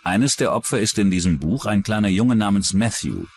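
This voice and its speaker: A man's voice: lowish voice, animated, moderate pace, darkish tone, slight background noise. A man reading scripted true-crime documentary narration as the narrator.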